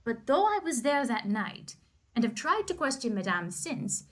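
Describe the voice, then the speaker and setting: A woman co-hosting a casual podcast, chatting with playful giggles and reading a book aloud with lively emphasis. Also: fairly high pitch, moderate pace.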